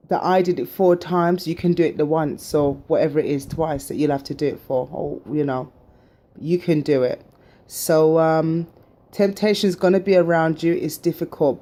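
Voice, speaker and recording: A middle-aged woman talks straight to her viewers in a low, mellow voice. She rambles through her story with plenty of fillers and turns emphatic and encouraging as she makes her point. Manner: chatty and emphatic, many fillers, motivational. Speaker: vlogger sharing her surgery journey. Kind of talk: casual personal storytelling to camera.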